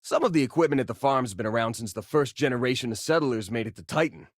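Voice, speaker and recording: An actor playing an easygoing young space miner in a video game, with a casual, joking way of talking. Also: male voice, mid-range voice, quick pace, no echo, studio quality, close to an everyday voice. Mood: casual, wry. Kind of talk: video game companion voice lines.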